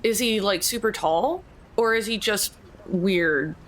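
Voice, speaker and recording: A woman's voice: medium pitch, slow pace, a bit bright. A woman playing a tabletop role-playing game, thinking aloud and chatting casually with the group. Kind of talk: casual speculative table talk.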